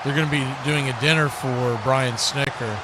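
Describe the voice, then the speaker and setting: A man's voice: mid-range voice, steady tone, normal speed, very noisy. An American man giving live football play-by-play with casual, folksy, conversational asides on a livestream. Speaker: play-by-play sports commentator.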